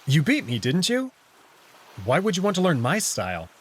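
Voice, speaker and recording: A man's voice: fairly high pitch, wildly swooping pitch, normal speed, breathy edge. A man reading scripted first-person story narration from a visual novel, with acted dialogue. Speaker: narrator and voice reader.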